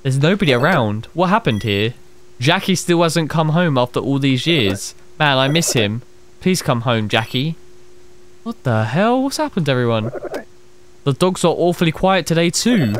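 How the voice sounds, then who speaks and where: A man's voice: a little high, big pitch swings, normal speed, a bit bright, a little hiss or hum. Young man playing a horror game. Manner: reactive, exclaims often, chatty asides to viewers. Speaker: gaming streamer. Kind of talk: horror game let's play, reading game text aloud. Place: small room at a desk. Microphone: headset. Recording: game music playing under the voice.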